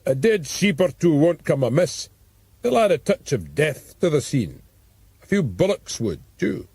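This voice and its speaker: A man's voice: fairly high pitch, very animated, a little slow, a little mellow, slightly rough, dry recording, full character voice. A man voicing a scheming country landowner, polite, understated and coolly ruthless, in an audiobook.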